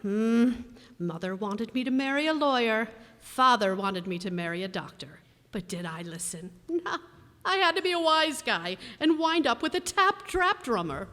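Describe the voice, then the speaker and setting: A woman acting a sharp, long-suffering, wisecracking wife in a 1940s-style radio sitcom, dry and scolding. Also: a little high, big pitch swings, moderate pace. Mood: dryly sarcastic. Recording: reverberant hall, stage radio play.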